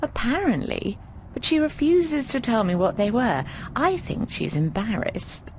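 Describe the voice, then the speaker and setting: An actress voicing a breezy, affectionate, bawdy landlady in a video game, chatting in an expressive, teasing way. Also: on the high side, measured pace, a little hiss or hum. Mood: affectionate, concerned. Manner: expressive, teasing, calls people darling. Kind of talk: conversational video game voice acting.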